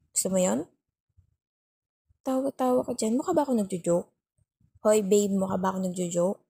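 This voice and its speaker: Woman acting as nagging, mellow-voiced Filipino girlfriend. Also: medium pitch, breathy edge, dry recording, clean studio sound. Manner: scolding, sulky, teasing, repeats herself for emphasis. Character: nagging, worried, overthinking young girlfriend, bossy but caring. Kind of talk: intimate ASMR girlfriend roleplay.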